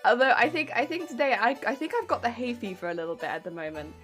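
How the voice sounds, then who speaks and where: A woman's voice: a little high, big pitch swings, fast, a bit bright, soft background music. Giggly woman with a weak, warbly voice. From United Kingdom. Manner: chatty, giggly, greets chat cheerfully. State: congested from hay fever. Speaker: streamer. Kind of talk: casual chat while crafting. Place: home craft room.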